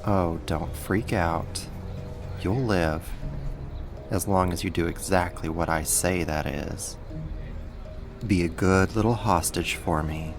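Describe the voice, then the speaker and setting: A man's voice: low-pitched, music in the background. A man playing a sadistic, mocking villain and kidnapper in an ASMR roleplay, with a controlling, condescending manner. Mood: taunting, controlling. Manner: slow, taunting, controlling, condescending.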